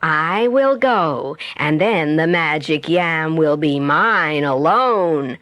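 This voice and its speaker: A woman voicing a scheming, greedy male leopard in a children's story, with slow, drawn-out, emphatic words. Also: low-pitched, animated, a bit bright, no room sound, heavily acted. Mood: greedy, menacing. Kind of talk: storybook character voice.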